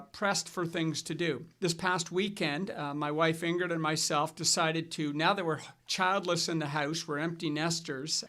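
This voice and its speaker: A middle-aged man hosting a financial advice show, telling stories in a relaxed way with fillers and restarts. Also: a little high. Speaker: financial advisor and show host. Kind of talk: casual scripted chat.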